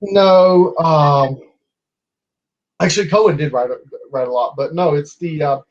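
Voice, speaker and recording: A man's voice: fairly high pitch, a little slow, muffled recording. A man in his twenties debating online in a casual way, with many restarts and fillers as he argues. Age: 20s.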